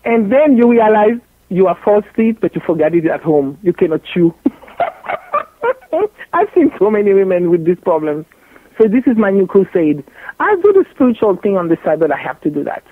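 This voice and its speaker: A woman's voice: medium pitch, big pitch swings, normal speed, no room sound. A woman from Haiti with a French accent, a gynecologist guest in a radio interview, speaking bluntly and jokingly and repeating herself for emphasis. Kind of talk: animated radio interview talk. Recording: tinny phone-like line.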